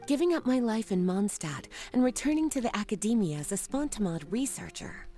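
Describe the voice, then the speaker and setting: A woman's voice: fairly high pitch, animated, brisk, mellow, faint music behind, lightly acted. A woman playing a poised, self-assured, teasing scholar turned librarian in a video game, speaking languidly. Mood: coolly firm.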